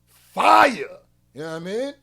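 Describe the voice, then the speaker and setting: A man giving casual, blunt and animated commentary as a music reaction host, full of slang and rhetorical questions. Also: high-pitched, measured pace, creaky.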